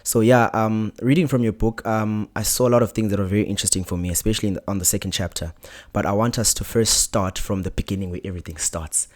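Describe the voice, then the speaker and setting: A man's voice: fairly low pitch, steady tone, fast talker. A young South African man hosting an interview podcast, speaking hesitantly with many restarts. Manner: hesitant, many ums and restarts, soft-spoken. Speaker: podcast host.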